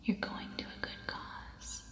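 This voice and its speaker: A woman playing an unhinged, coaxing cannibal survivor in an ASMR roleplay, in a soft breathy whisper that soothes and menaces. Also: takes it slowly. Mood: soothing, sinister.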